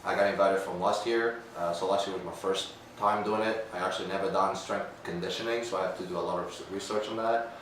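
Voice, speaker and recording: A man's voice: sits low, fairly even intonation, brisk, a bit bright. A man in his thirties from Portugal, an aspiring personal trainer asking earnest questions in a casual chat. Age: 30s. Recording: far from mic, very echoey room, faint noise.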